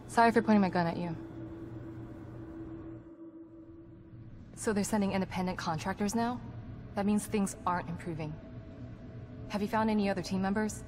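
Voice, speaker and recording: A composed female psychologist from a video game cutscene, played by an actress. She is guarded and clinical, choosing precise, formal words at a middling pitch. Music plays clearly behind her. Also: quick pace, a little mellow, lightly acted. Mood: composed, wary. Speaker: psychologist character in a horror game. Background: background music.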